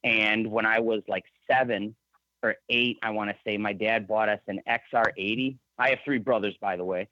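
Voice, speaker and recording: A man's voice: on the low side, steady tone, moderate pace, no echo, dull audio. A man in his thirties with a Michigan accent, a guest telling his story in a rambling, casual way. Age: 30s. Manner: rambling, restarts often. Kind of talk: casual interview.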